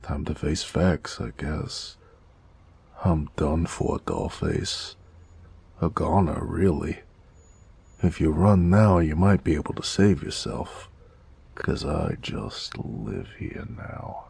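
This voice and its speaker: Man acting a very deep, gravelly noir mobster. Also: lively intonation, slow, darkish tone, exaggerated voice. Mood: playful weariness. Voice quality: very deep, gravelly, breathy murmurs. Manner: old-time gangster slang, sighing, drawling. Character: weary, teasing, affectionate male noir mobster lover. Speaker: voice actor in romance audio roleplay. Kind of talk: intimate noir romance audio roleplay.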